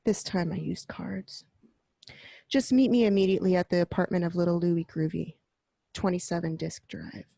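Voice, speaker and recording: Confident masked heroine, low gravelly voice, dark tone, dry sound. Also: female voice, steady tone, moderate pace, no room sound. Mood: urgent. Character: confident young adult female masked crime fighter.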